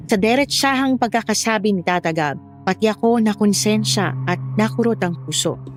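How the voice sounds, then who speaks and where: A woman's voice: mid-range voice, normal speed, faint music behind, close to an everyday voice. A woman with a Filipino accent playing a pregnant young wife who narrates her own horror story. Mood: uneasy, guilty. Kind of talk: first-person scripted horror story narration.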